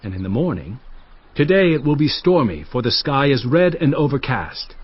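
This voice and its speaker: An actor playing a commanding, earnest male teacher in a dramatized audio Bible, speaking emphatically and deliberately. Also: medium pitch, big pitch swings, breathy edge, no room sound, lo-fi sound. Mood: stern, exasperated.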